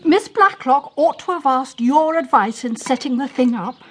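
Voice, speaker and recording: An actress playing an admiring English middle-class wife in a radio drama, gushing and persuasive. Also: female voice, high-pitched, animated, normal speed, a bit bright, husky, full character voice. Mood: curious, flattering.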